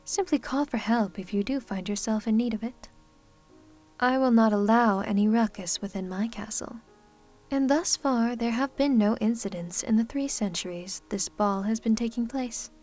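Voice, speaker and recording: A woman playing a gracious, commanding, centuries-old vampire hostess in an ASMR roleplay, speaking formally with gentle teasing. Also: fairly high pitch, normal speed, faint music behind, lightly acted. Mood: reassuring, poised.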